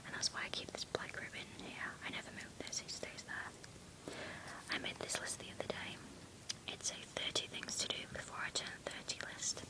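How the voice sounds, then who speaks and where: A woman in her twenties whispering fast through her journal as a vlogger, recorded on an iPod. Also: low-quality recording. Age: 20s. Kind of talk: whispered journal show-and-tell.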